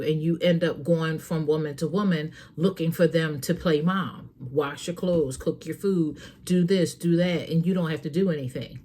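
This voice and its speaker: A woman's voice: sits low, darkish tone. A woman hosting a talk show, giving earnest, frank parenting advice straight to her listeners in a casual, preachy way. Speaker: host.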